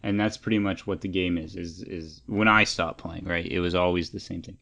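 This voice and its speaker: A man's voice: low-pitched, fairly even intonation, fairly quick, vocal fry, bright, forceful tone. A man co-hosting a podcast, chatting casually about games and films in a probing, opinionated way. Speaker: podcast co-host. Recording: dull, band-limited lo-fi sound.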